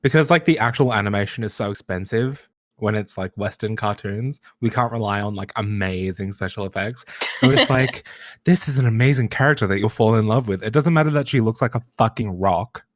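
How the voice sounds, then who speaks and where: A man's voice: fairly low pitch, fairly quick, few pauses, dry recording. Man co-hosting a chatty podcast. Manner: teasing, crude banter. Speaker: podcast co-host. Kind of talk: casual chat.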